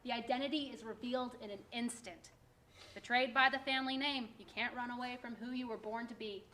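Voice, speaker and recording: An actress in a staged play reading, playing a brusque, sarcastic government social worker who talks in a blunt, hurried way. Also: female voice, a little high, steady tone, bright, forceful tone. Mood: mocking.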